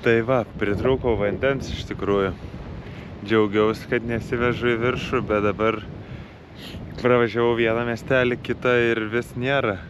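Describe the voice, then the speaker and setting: A man's voice: mid-range voice, fairly even intonation. A young man with a Lithuanian accent, chatting casually to camera while cycling outdoors. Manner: chatty, friendly, quick reactions. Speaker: cycling vlogger. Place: outdoors on a hilly coastal road. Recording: outdoor road and wind noise.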